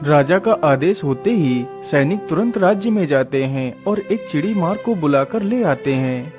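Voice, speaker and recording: A man's voice: fairly high pitch, lively intonation, unhurried, music in the background. A man reading scripted narration for a children's cartoon story.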